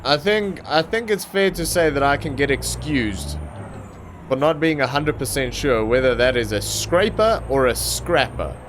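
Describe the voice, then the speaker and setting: A young man with a mid-range voice, an Afrikaans speaker, chatting loosely as he drives in a trucking game. He rambles, fills gaps with ums and circles back to his point. A steady drone of truck engine and road noise sits clearly under his voice. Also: mid-range voice, a little slow. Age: young adult. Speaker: gaming channel host. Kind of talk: casual gameplay commentary.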